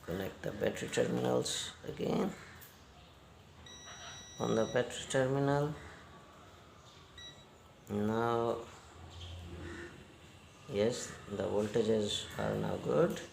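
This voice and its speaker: A man giving a hands-on repair demonstration in halting, non-native English, step by step. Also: mid-range voice, steady tone, vocal fry, a little mellow, roomy sound, a little hiss or hum. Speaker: repair technician giving a tutorial.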